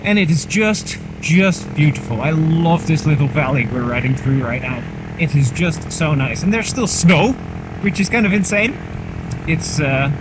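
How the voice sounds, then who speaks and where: A man chatting casually to the camera while riding a motorcycle, rambling and poking fun at himself, recorded on a helmet mic. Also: fairly high pitch. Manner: chatty, rambling, self-mocking. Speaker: motorcycle vlogger. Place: on a motorcycle on a country road outdoors. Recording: helmet mic with clear engine noise. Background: engine and wind noise.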